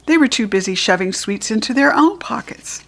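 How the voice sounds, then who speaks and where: A woman's voice: a little high, normal speed. Woman reading a children's storybook aloud. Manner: clear and expressive. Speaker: storybook reader.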